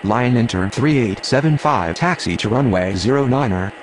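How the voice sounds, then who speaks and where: A man's voice: mid-range voice, expressive, moderate pace, natural voice. A man voicing a formal, procedural pilot and controller in an air traffic game, speaking in clipped radio phrases. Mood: businesslike.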